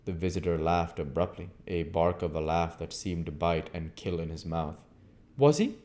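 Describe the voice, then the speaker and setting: A man with a low-pitched voice reads a story aloud. He narrates in an audiobook style, working through literary prose. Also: level tone, normal speed, a little mellow. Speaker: audiobook reader.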